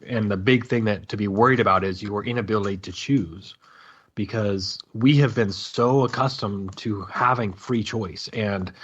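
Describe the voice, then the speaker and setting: Male podcast guest, low husky voice, halting opinionated chat, muffled lo-fi audio. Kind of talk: casual opinionated discussion. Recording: dull lo-fi call audio.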